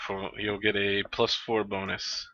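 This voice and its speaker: A man's voice: on the low side, completely flat delivery, unhurried, sharp tone, muffled recording. A man running a tabletop game as game master, matter-of-fact with dry humor.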